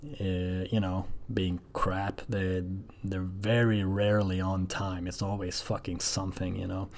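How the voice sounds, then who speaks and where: A man talking casually in a rambling personal audio log, halting and hesitant, with coarse everyday wording. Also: deep voice, flat delivery, a little mellow, a little hiss or hum. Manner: hesitant, halting, frequently swearing.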